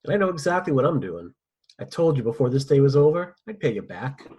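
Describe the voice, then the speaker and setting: A man playing a husky, sardonic mercenary villain. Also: medium pitch, big pitch swings, brisk, mellow. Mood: bitter defiance. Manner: sardonic, profane. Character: cynical, mercenary male villain, smug and ruthless. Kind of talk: dramatic script table read.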